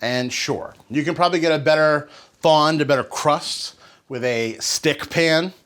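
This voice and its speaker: A man's voice: on the high side, measured pace, fairly bright tone, clean tone. A man hosting a home cooking show, talking casually and rambling into playful, joking asides. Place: home kitchen.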